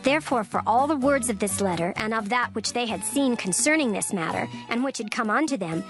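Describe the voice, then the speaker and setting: A woman reads scripture in a steady, formal way, pacing every phrase carefully. Her voice sits slightly high, and clear music runs underneath throughout. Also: brisk. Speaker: narrator.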